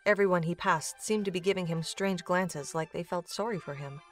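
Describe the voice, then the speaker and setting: A woman's voice: lowish voice, moderate pace. A woman reading scripted narration for a fantasy audio drama. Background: music.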